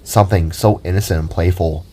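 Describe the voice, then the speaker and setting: A man's voice: low-pitched, steady tone, a little fast, mellow, breathy edge. A man reading scripted, dramatic story narration for an amateur audio drama.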